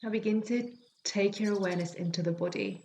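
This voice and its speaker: A woman teaching yoga nidra, guiding a relaxation meditation in a gentle, soothing, unhurried way with long pauses. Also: medium pitch. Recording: birdsong and forest sounds behind voice. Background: calming forest nature sounds with birds.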